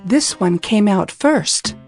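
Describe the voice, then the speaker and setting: A woman's voice: mid-range voice, lively intonation, slow pace, a little mellow, faint music behind. Woman acting biblical midwife, formal delivery. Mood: announcing. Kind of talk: dramatized scripture reading.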